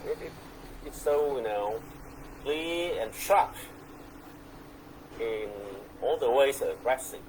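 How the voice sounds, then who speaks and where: A man's voice: medium pitch, lively intonation, a little mellow, slight background noise, poor audio. A middle-aged man with a Vietnamese accent, a guest poet answering on a video call, hesitant and full of fillers and restarts. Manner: slow, hesitant, many fillers and repeats. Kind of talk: relaxed conversational interview.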